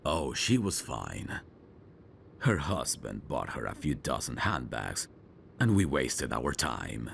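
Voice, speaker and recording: A man playing a gruff, world-weary veteran police detective in an audio drama, with a husky, gravelly voice and a sardonic manner. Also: a bass voice, sing-song, takes it slowly, a bit bright, slight background noise, full character voice. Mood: cynical, annoyed. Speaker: detective mentoring a rookie. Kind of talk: scripted roleplay audio drama.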